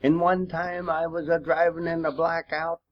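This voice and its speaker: A man's voice: fairly high pitch, little pitch movement. An elderly man with a rural American accent, a war veteran reminiscing informally in a folksy, rambling way. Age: 80s or older. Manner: folksy, rambling storytelling with frequent throat-clearing pauses. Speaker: war veteran telling his memories. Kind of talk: informal oral-history reminiscence. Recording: dull, lo-fi home recording.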